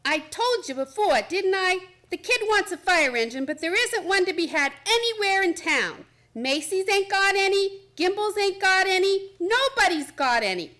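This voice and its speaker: A woman's voice: very high-pitched, expressive, normal speed, hardly any pauses, bright, forceful tone, exaggerated voice. A woman playing a brash, skeptical, tired mother in a radio play, with blunt, slangy, exclamatory talk. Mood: irritated.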